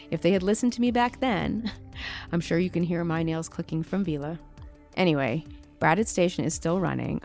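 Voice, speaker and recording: A woman's voice: on the low side, darkish tone. A woman playing a wry, confident space company executive in her fifties, chatting in an audio drama log entry, with music underneath. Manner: chatty, wry, dryly teasing.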